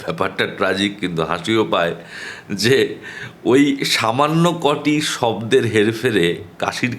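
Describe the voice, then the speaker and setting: Low-voiced man telling amusing anecdotes. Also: fairly bright tone. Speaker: storyteller. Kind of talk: humorous anecdote narration.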